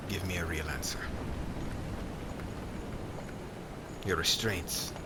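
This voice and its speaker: A man playing a stern, cold witch hunter in an ASMR roleplay, speaking in a solemn, formal, menacing way that often drops to a hush. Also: low voice, steady tone, fairly quick, heavy background noise, natural voice. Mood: grudging, bargaining. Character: stern male witch hunter captor, cold, grudgingly admiring. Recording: heavy rain and thunder ambience behind. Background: rain and thunder sound effects.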